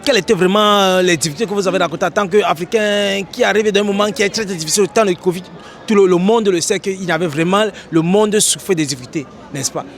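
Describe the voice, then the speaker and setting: A man hosting an outdoor street interview, asking animated questions and often checking with "n'est-ce pas?". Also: high-pitched, fast talker, hardly any pauses. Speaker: host, interviewer.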